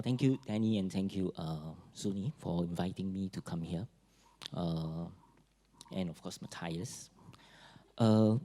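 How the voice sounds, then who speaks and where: Singaporean guy giving a forum talk, husky low voice, lots of uh and restarts. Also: warm tone. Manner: halting, many fillers and restarts. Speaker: forum speaker. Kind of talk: public talk.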